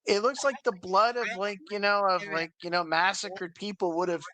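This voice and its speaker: American guy on a podcast, kinda high bright voice, opinionated, feels his way through sentences. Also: steady tone, normal speed. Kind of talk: casual opinionated chat.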